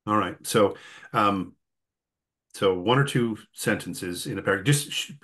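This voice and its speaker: Male interviewer, lowish voice, conversational, rambles through his questions as he thinks aloud. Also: measured pace, fairly bright tone. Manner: rambling, thinking aloud, frequent throat-clearing. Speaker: host and interviewer.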